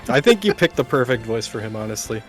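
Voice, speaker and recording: A man's voice: mid-range voice, very animated, a little fast, faint music behind. A man co-hosting a game playthrough, talking casually. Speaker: co-host, guest voice actor. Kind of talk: casual chat.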